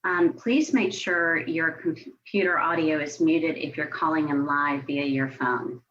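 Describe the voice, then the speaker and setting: A woman with an American accent and a slightly low voice reads out procedural items as a municipal staff member. Her delivery is formal and official. The online meeting audio is a little muffled, with faint noise behind her. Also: measured pace, a bit bright. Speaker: city staff member at a public commission meeting.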